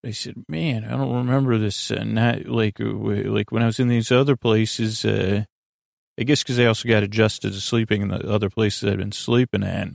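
Guy w a creaky gravelly voice doing a rambly bedtime podcast intro, halting, keeps drifting off on tangents, really dry sound. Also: lowish voice, steady tone, dry recording. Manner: meandering, halting, trails off into tangents. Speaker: podcast host.

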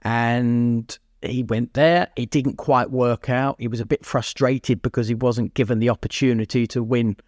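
A man's voice: medium pitch, fairly even intonation, normal speed, hardly any pauses, darkish tone, no room sound, studio quality. A man co-hosting a podcast, talking sport casually and emphatically, repeating phrases and posing rhetorical questions. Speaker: podcast co-host.

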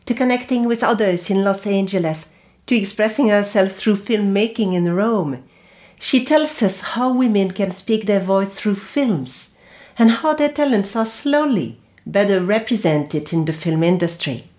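A woman hosting a podcast, reading a scripted introduction and then interviewing a guest in a measured, deliberate way. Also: mid-range voice, a little mellow. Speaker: podcast host.

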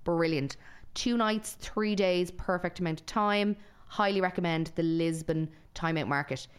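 A woman's voice: on the low side, a little slow, smooth. A young woman co-hosting a podcast, chatting and eagerly giving travel tips. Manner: chatty, gushing travel recommendations. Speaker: podcast co-host. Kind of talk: casual podcast chat.

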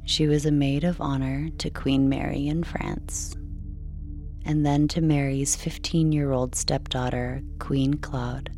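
A woman reading a scripted bedtime story in a slow, measured, soothing delivery. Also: a bass voice, level tone. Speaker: sleep story narrator. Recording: soft music behind.

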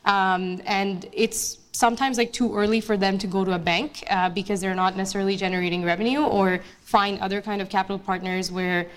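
A young woman from Bangladesh answers questions in front of an audience. Her talk is casual and chatty, full of fillers and restarts. Her voice sits at a middle pitch. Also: steady tone, a little fast, hardly any pauses. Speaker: guest speaker, investment analyst. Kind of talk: casual onstage interview.

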